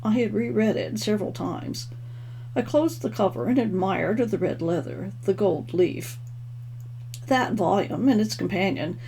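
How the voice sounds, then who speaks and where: A woman's voice: mid-range voice, mellow. A woman author reading her own first-person novel expressively, as a storyteller. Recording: clearly noisy home recording.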